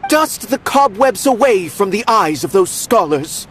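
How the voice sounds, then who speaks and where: Old theater guy, earnest and kinda solemn, slightly high voice, faint noise behind. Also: big pitch swings, measured pace, fairly bright tone, exaggerated voice.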